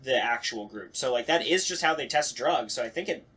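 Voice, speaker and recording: A man with a medium-pitched voice takes part in a casual panel chat. He speaks informally, with slang, feeling his way through each idea in halting phrases. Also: lively intonation, bright, forceful tone, husky.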